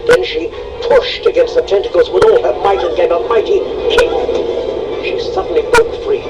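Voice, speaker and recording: A man's voice: high voice, sing-song, moderate pace, vocal fry, dull audio. A man narrating a scripted children's read-along story. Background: prominent music.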